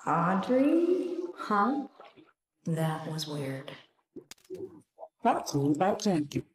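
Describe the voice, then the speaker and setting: A woman playing an anxious but plucky, kind-hearted young animator in a horror video game, expressive and often talking to herself. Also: on the low side, drawn-out words, long reverb tail, a little hiss or hum, full character voice, poor audio. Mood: uneasy, searching. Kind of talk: dramatic horror game voice acting.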